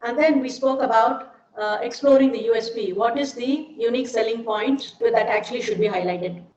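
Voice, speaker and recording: A woman's voice: a little high, moderate pace, a little mellow. A middle-aged woman with an Indian accent explaining things in an informal online lecture, often posing questions to her listeners. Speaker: seminar speaker, ad film maker. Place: indoors, online seminar. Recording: dull, echoey online call with hiss.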